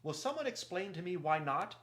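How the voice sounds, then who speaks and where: A man voicing a small, proud, chivalrous talking mouse from a storybook, with a clear voice and haughty, formal, declamatory delivery. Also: sits high, big pitch swings, brisk, a bit bright, voice pushed up. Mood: indignant challenge.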